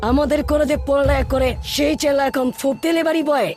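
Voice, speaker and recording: Woman acting a high-voiced haughty rich college boy. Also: fairly even intonation, brisk, music in the background. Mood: scornful. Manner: mocking. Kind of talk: animated game-story voice acting.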